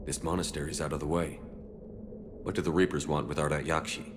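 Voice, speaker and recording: Low-voiced, resolute military commander. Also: male voice, flat delivery, fairly quick, background noise. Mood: serious, probing. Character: resolute human military commander, serious and questioning. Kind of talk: video game dramatic acting. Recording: game score playing behind.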